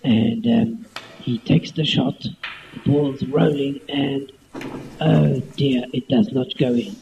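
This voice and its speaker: A male performer playing a bumbling, halting snooker commentator in a spoof sports commentary. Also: medium pitch, vocal fry, pitched below the performer's own voice. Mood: deadpan. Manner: slow, halting, muddled. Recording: dull, noisy homemade tape.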